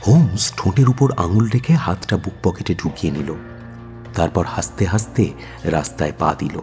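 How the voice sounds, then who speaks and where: A detective's companion who narrates the adventure. His voice is lowish and very lively, full of suspense and expression, set over clearly audible music in a radio play. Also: moderate pace. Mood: suspenseful. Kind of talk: dramatic first-person storytelling in radio play.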